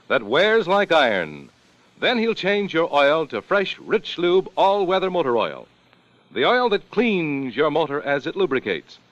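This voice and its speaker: Man doing an old radio ad, high lively voice, muffled old sound. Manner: bright, lively sales pitch. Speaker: announcer. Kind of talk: 1940s radio commercial. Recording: dull lo-fi old radio recording.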